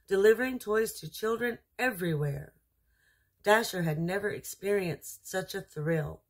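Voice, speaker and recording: Slow, husky woman storyteller. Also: lowish voice. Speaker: storyteller reading aloud. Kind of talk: children's storybook narration.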